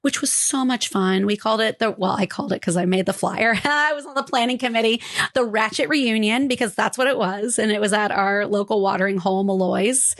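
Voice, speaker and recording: Hoarse woman co-host, joking and salty. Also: mid-range voice, brisk. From California, United States. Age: 40s. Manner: salty, joking, swears casually. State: hoarse from a cold. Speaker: podcast co-host. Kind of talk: casual chat with a scripted explainer.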